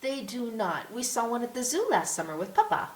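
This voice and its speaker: A woman's voice: on the high side, sing-song, brisk, darkish tone, voice pushed up. A woman voicing a spirited, chatty little French girl in an audiobook, with a pert, precocious manner. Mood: insistent. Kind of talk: audiobook character voice. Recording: roomy echo with clear hiss.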